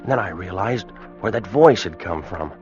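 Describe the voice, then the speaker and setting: An old radio adventure, muffled, with music clearly behind the voice. a doomed American sailor with a low voice, telling his story in a grave, haunted, measured way. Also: lively intonation, a little mellow. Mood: haunted, tense. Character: weakened, fearful American sailor recording his doom. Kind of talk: first-person radio drama narration. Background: dramatic music.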